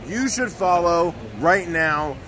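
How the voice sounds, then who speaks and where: A man's voice: sits high, lively intonation, slow pace. A man bantering with his friend on a night out, teasing and gushing about him. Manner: teasing, effusive. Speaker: vlogger's friend. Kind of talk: casual banter. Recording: loud music and crowd behind.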